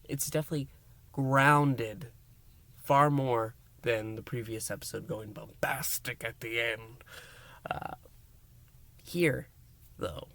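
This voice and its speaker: A man hosting a review, recapping a story in a theatrical, emphatic way with dramatic pauses and hushed words. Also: mid-range voice, no echo. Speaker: podcast review host.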